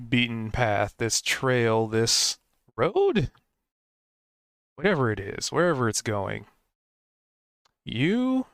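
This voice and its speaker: Guy gm describing a scene, smooth clear voice, dry close sound, rambles a bit. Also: medium pitch, dry recording. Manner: meandering, descriptive, with fillers. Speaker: game master. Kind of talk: narrating a tabletop game.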